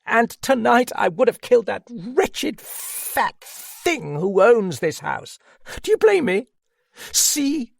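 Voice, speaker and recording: A woman's voice: on the low side, animated, slow, creaky, breathy edge, no room sound, studio quality, pitched above the performer's own voice, exaggerated voice. A man voicing a proud, desperate woman who cries out with passion, bitter and near tears, in a read-aloud story. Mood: fierce.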